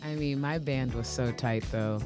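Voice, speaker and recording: A woman in her middle years from Portland, Oregon, with a deep, commanding voice, chatting expressively as an interview guest and often laughing. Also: moderate pace, over music. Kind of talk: casual interview chat.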